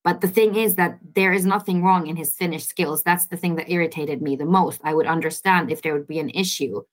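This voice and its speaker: Female interviewee, very low voice, frank, exasperated, unscripted. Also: fairly quick. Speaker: listener, parent. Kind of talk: interview answer.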